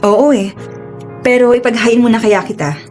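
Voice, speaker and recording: Casting: actress as protective married elder sister, high voice, radio drama, music bed behind. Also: female voice, moderate pace, darkish tone, close to an everyday voice. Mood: caring, brisk. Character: protective older sister, married working woman, fiercely caring.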